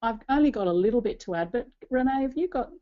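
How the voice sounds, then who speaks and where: A woman's voice: fairly high pitch, animated, fast, dull audio. A woman in her late forties giving a personal, reflective talk as a co-presenter, earnest and conversational. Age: late 40s. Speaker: church ministry leader speaking as co-presenter.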